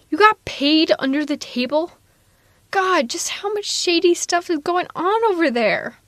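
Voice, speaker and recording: A woman's voice: sits high, fairly bright tone, dry recording, clean studio sound. A woman playing a blunt but protective and caring female supervillain in a casual, wry, conversational ASMR audio roleplay. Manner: casual, wry, reassuring, exasperated.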